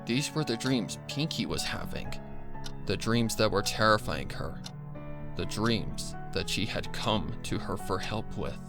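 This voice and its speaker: A man narrating a scripted horror story in a grave, measured, dramatic way. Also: fairly low pitch, lively intonation. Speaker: fan fiction narrator. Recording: music bed behind the voice. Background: music.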